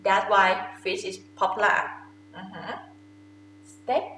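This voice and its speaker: A woman's voice: mid-range voice, steady tone, takes it slowly, sharp tone. A young woman with a Thai accent, a native Thai speaker, teaching a language lesson to camera in simple, informal explanations. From Thailand. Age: young adult. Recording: echoey room with faint noise.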